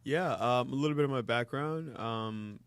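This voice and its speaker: A man in his thirties from the Democratic Republic of Congo, a guest speaking in a measured way with frequent ums. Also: medium pitch, clear, resonant tone. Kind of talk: interview conversation.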